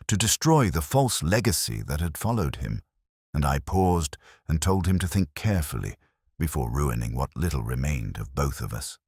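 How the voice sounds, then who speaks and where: A man with an extremely low voice narrates detective fiction in a measured, brooding way. His pitch rises and falls expressively through each literary sentence. The sound is close and dry, with almost no room around it. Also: slightly rough, no room sound. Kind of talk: scripted first-person detective narration.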